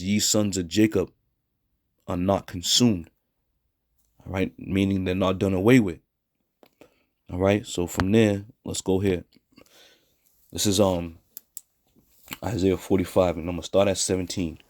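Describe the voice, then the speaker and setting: A hoarse man teaching scripture in a blunt, scornful way, with a frequent "all right?". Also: low voice, a little mellow, no echo, studio quality. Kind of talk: street preaching scripture lesson.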